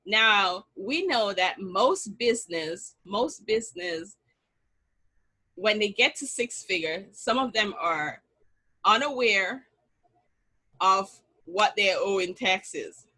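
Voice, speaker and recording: A woman's voice: medium pitch, lively intonation, slow pace, bright, forceful tone, poor audio. A middle-aged woman with a Jamaican accent, hosting an online summit in a chatty, upbeat way and telling personal stories. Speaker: summit host. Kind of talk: casual online event introduction and storytelling.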